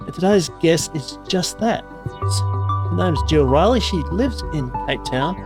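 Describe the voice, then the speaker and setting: A man's voice: a little high, lively intonation, measured pace. A man hosting a podcast who introduces his guest in a chatty, rambling, enthusiastic way. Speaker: podcast host. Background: music.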